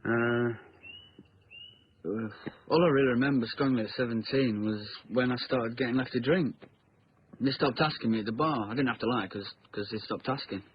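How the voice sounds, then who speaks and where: A man's voice: mid-range voice, moderate pace, muffled recording, slight background noise, natural voice. A man playing a defensive, cynical young slacker with a British accent in naturalistic drama dialogue. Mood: reluctant, guarded.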